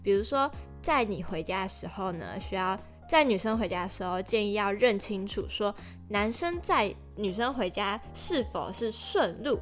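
A woman's voice: mid-range voice, lively intonation, moderate pace, few pauses, faint music behind. A woman co-hosting a podcast in casual, conversational talk. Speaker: podcast co-host.

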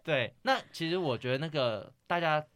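Bright, animated Taiwanese man chatting. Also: a little high, moderate pace, no echo, clean studio sound. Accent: Taiwanese Mandarin. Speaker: podcast host. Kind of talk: casual film chat.

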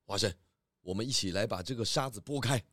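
A man's voice: lowish voice, fast, no room sound. A man voicing a clever, confident pig detective in a children's audio drama. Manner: calm, explaining, hesitant fillers when cold.

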